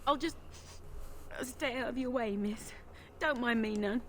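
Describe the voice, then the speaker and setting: A woman's voice: on the high side, unhurried, crowd noise, close to an everyday voice. A woman playing a frightened, meek young village woman in a video game, timid and plaintive. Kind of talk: video game character dialogue.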